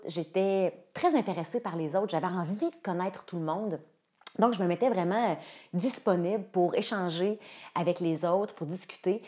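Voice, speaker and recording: A woman's voice: mid-range voice, darkish tone. A woman in her thirties with a Quebec French accent, a coach sharing personal stories and presenting a course to viewers. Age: 30s.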